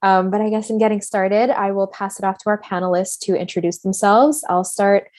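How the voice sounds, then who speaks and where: A woman hosts a panel over a video call, chatting casually and warmly with plenty of fillers. She sounds a little tired, and her voice sits close and dry with no room echo. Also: medium pitch, steady tone, fairly quick. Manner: casual, friendly, filler-heavy. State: fasting, a bit tired. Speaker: panel moderator.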